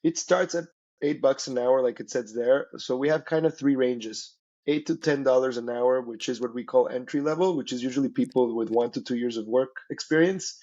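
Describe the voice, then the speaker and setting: A man's voice: medium pitch, moderate pace, dark tone, lo-fi sound. A man from Mexico, a native Spanish speaker, explaining conversationally as an interview guest, often checking in with "right?". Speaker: interview guest, company founder.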